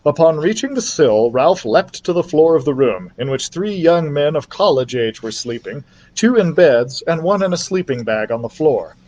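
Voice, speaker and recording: A man's voice: a little high, very animated, moderate pace, a little mellow, muffled recording. A man narrating a children's storybook expressively, as a library storyteller reading aloud.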